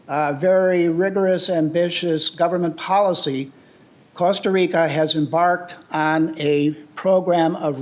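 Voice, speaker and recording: An older man from the United States giving an earnest, explanatory public talk with slides, often pausing with "uh" and restarting phrases. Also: on the high side. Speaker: presenter on travel and sustainability.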